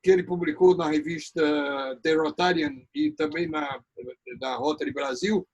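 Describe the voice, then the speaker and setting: A man from Ceará, Brazil, with a Brazilian accent, hosting an online talk show and giving a formal welcome. He talks haltingly, searching for words, with many pauses. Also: a little high, animated, gravelly, darkish tone, slightly rough. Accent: Brazilian Portuguese, from Ceará. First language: Portuguese. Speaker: host.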